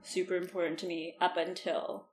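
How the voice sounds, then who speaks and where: A woman's voice: on the low side, flat delivery, normal speed, roomy sound, a little hiss or hum, rough recording. A woman as a podcast guest in casual chat, candid and laughing easily.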